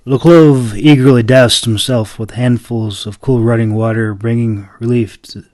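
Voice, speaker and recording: A man narrating a fantasy audiobook in a dramatic, expressive, deliberate storytelling style. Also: mid-range voice, no echo.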